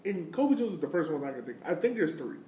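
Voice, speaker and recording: A man's voice: on the high side, sing-song, quick pace, a little mellow. A man of thirty hosting a podcast, talking sport in a casual, slangy and enthusiastic way. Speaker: podcast host. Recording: roomy, distant mic, faint noise.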